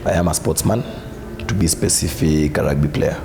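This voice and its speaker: A man's voice: extremely low pitch, moderate pace, noisy background. A man, speaking casually about himself in an interview. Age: 30s. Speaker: interviewee, rugby player. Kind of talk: casual personal profile interview.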